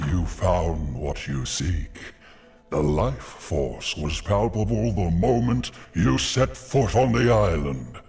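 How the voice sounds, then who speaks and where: Old kindly nature spirit, deep, deeply creaky, solemn formal speech, fantasy game. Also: male voice, expressive, takes it slowly, darkish tone, soft background music, exaggerated voice. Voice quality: gravelly. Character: ancient, weary, benevolent male guardian spirit of nature.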